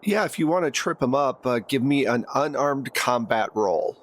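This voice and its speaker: A man's voice: medium pitch, lively intonation, normal speed, a bit bright. A man running a tabletop roleplaying game as game master, describing scenes to the players in vivid, conversational second person. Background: background music.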